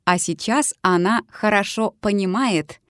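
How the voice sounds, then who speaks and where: A woman reading lesson dialogues aloud in a measured, carefully articulated way. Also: mid-range voice, a bit bright, no echo, clean studio sound. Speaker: language teacher.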